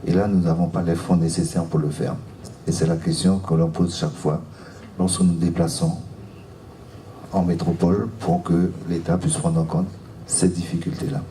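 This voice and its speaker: Deep-voiced man speaking in a roomy hall. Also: little pitch movement, moderate pace, mellow. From French Guiana. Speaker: local elected official at a seminar. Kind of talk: public remarks at a seminar. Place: seminar room, recorded from a distance. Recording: roomy, distant mic, faint noise.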